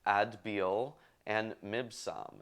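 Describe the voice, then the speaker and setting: Slow, low-voiced man reading aloud. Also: fairly even intonation, a bit bright. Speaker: show host reading scripture aloud. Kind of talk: halting read-aloud of Bible genealogy with casual asides.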